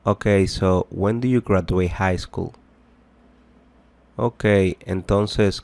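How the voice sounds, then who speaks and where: Slow, low-voiced male language teacher. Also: a little mellow, dry recording. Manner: deliberate, repetitive, explanatory. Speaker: English teacher. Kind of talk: bilingual language lesson, translating and repeating phrases.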